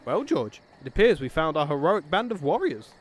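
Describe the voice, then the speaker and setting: A man's voice: a little high, sing-song, a little fast, breathy edge. A British man playing an eager, pompous and polite noble knight in a comic fantasy drama. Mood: cheerful, earnest. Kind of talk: theatrical comic fantasy acting.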